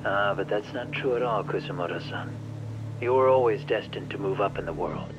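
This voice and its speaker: An actor playing a guarded, weary middle-aged former teacher, speaking politely and with measured understatement in a game drama. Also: male voice, on the low side, muffled recording, noisy background, natural voice. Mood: polite, grave. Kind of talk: dramatic video game dub acting.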